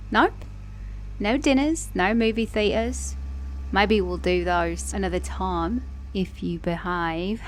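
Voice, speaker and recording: A woman acts out a flirty female car mechanic in a romantic ASMR roleplay. Her accent blends Australian and British, and her voice sits at a middle pitch. She teases playfully, with a sassy, affectionate confidence. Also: slow pace, slight background noise, close to an everyday voice. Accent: Australian-British mix. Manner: flirty, teasing, playful, with soft chuckles.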